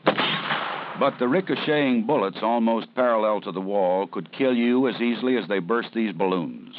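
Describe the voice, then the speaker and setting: A man narrating a 1970s police training film in a measured, serious, instructive way. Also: on the low side, animated, no echo. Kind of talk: scripted documentary narration. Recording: dull 1970s film soundtrack with faint hiss.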